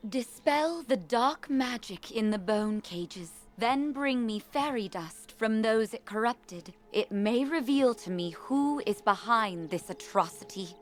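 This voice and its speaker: A woman voicing a wise, gentle, solemn seraph guardian in a fantasy video game, formal and measured. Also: a little high, animated. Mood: solemn, kind. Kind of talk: video game character acting.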